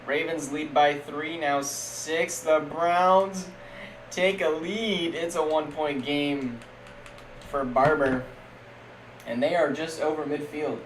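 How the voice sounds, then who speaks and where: A young man streaming casual, jokey play-by-play of a simulated football league, getting loud on big plays. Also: fairly high pitch, fairly even intonation, slow pace. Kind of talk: live-stream game commentary. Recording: echoey room with faint background noise.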